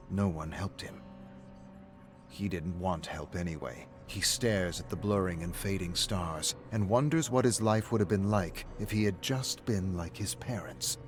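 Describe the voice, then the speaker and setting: Male narrator, lower register, scripted dramatic game storytelling, music bed.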